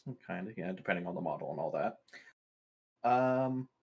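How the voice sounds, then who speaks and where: Male tabletop player, low voice, casual but methodical, hesitating between questions. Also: expressive, normal speed, husky, dull audio.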